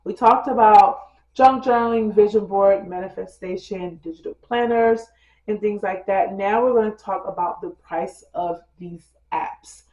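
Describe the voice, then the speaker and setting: A woman's voice: medium pitch, measured pace. A woman vlogger giving a casual tutorial, chatty and full of fillers, a bit congested from a cold. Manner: addresses viewers as "girl" and "you guys". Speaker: lifestyle vlogger. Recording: dull roomy recording with soft music.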